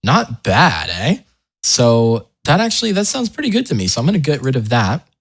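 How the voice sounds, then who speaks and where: A man hosting a casual software tutorial, talking informally with wry asides and interrupting himself as he goes. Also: medium pitch, huge pitch swings, moderate pace. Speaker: tutorial host.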